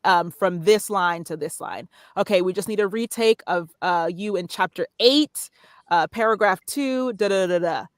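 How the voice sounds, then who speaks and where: A woman's voice: fairly low pitch, moderate pace, no echo, clean studio sound. A woman guest on a podcast, chatting casually about narration work and acting out little examples as she explains. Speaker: guest, voiceover artist. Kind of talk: casual interview talk.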